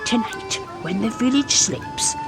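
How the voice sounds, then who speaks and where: A woman's voice: on the high side, lively intonation, measured pace. A woman playing a mysterious, commanding figure who speaks in omens in an audio drama. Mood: portentous. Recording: very loud music behind the voice.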